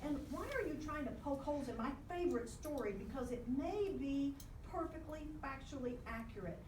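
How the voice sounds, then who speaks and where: Woman voicing a high-pitched, sassy female puppet. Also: animated, fairly quick, darkish tone. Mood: teasing, exasperated. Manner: teasing, sassy, theatrical. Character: cheeky female puppet preaching her own puppet religion. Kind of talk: live comic puppet show dialogue. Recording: echoey room, distant mic, clearly noisy.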